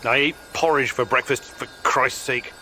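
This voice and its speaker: A man's voice: mid-range voice, fairly even intonation, moderate pace, bright, forceful tone, a little hiss or hum. An actor playing a serious, weary male mission leader in a video game, with a dry, earnest, terse manner. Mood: irritated. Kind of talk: video game character acting.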